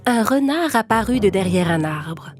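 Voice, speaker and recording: A woman's voice: medium pitch, animated, normal speed. Woman narrating a children's story over music.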